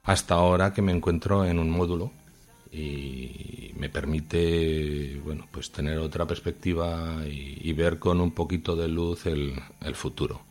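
A man with a low voice and a Madrid accent speaks as a guest in an informal radio interview. He picks his words in a halting, measured way. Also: fairly even intonation, darkish tone. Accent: Madrid Spanish. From Madrid, Spain. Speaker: interviewed guest, new radio show contributor.

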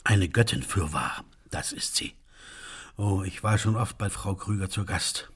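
A man's voice: sits low, measured pace, a bit bright, slightly rough, heavily acted. An actor playing a lovesick, tormented man with florid, theatrical speech in a satirical radio play. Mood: swooning, pained.

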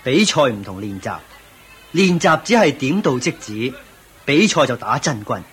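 A man's voice: medium pitch, very animated, normal speed, fairly bright tone, faint music behind. A man with a Hong Kong Cantonese accent playing a loyal, respectful martial arts master at court in a radio drama, formal and earnest. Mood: earnest, firm.

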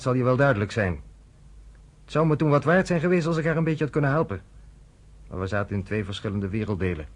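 A man's voice: lowish voice, close to an everyday voice. A man playing a blunt, sardonic American reporter. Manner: cutting, sardonic, lecturing. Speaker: journalist. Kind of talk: radio drama acting.

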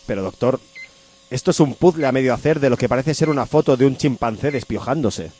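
A man's voice: mid-range voice, expressive, fairly quick, warm tone, natural voice. A man playing an insistent, melodramatic woman lover in a comic radio soap, overwrought and pleading.

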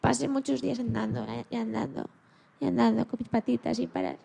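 A woman voicing a timid, hopeful young male dog who tells his own story in a children's radio play, expressive and a little halting. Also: a little high, vocal fry, a little hiss or hum. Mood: tender, earnest.

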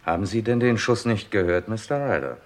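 Man as very deep-voiced, terse police chief. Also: lively intonation, fairly quick, close to an everyday voice. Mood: sceptical, probing. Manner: terse, businesslike, sceptical. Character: brisk, probing Scotland Yard police chief. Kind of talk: German radio crime drama acting.

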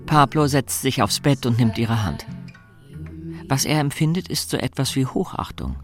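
A man narrating a radio drama as a composed, observant storyteller, in a measured delivery. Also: mid-range voice, expressive, close to an everyday voice. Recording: music under the narration.

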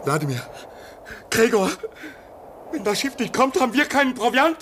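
A man's voice: very high voice, very animated, normal speed, fairly bright tone, slight background noise, exaggerated voice. An actor playing a grim, sardonic man stranded at a polar station in a radio drama. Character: grim, pessimistic male polar station worker.

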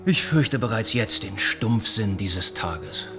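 An actor playing an earnest, gently reproving young gentleman in a radio drama, speaking in thoughtful, formal phrases. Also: fairly low pitch, lively intonation, slow, music in the background. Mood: resigned.

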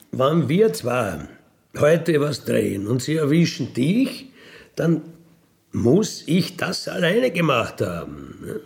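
A middle-aged or older man with a gravelly voice, telling personal stories casually and emphatically in colloquial speech, often checking for agreement. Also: mid-range voice. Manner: colloquial, emphatic, seeks agreement with tag questions. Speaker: interviewee, career crook telling his life story.

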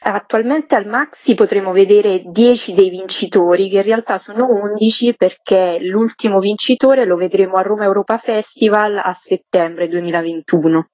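A woman's voice: mid-range voice, moderate pace, hardly any pauses, darkish tone, dry recording. Articulate woman, dull lo-fi sound. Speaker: interviewed exhibition curator. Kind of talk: informative radio interview.

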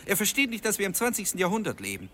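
An indignant young son in a radio drama, emphatic and agitated. His fairly high voice swings widely in pitch, over faint background ambience. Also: wildly swooping pitch, racing, a bit bright, a little hiss or hum, exaggerated voice. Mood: bitter, indignant. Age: young adult. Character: resentful, agitated young law student, the governor's son. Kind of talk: German radio drama acting.

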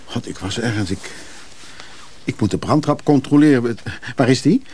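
An actor playing a restless, moody lover in a radio drama, speaking haltingly and reflectively. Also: male voice, medium pitch, expressive, darkish tone, a little hiss or hum, lightly acted. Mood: confused, anxious. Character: restless moody male lover haunted by dreams. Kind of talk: intimate radio drama acting.

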